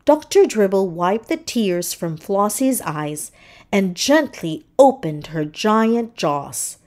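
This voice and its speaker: Very slow, animated woman storyteller. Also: mid-range voice. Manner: dramatic, deliberate phrasing. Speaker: storybook narrator. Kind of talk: expressive children's read-aloud narration.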